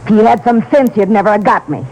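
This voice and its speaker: A woman's voice: mid-range voice, quick pace, darkish tone, lightly acted, poor audio. Woman playing a brassy, sullen female crook. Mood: bitter, resentful. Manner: tough, slangy, sullen. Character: brassy, resentful female crook, small-time knockout-drops accomplice. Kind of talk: 1950s radio drama acting. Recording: vintage radio broadcast, faint noise.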